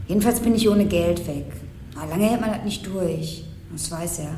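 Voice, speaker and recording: Eine Schauspielerin spielt eine trotzige, misstrauische junge Ausreißerin in einem Krimihörspiel, knapp und abweisend im Ton. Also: female voice, lowish voice, normal speed, mellow, natural voice. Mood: defiant, bitter. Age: young adult. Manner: curt, defiant. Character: defiant, worn young woman runaway squatter, distrustful. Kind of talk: radio crime drama dialogue. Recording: echoey room with faint background noise.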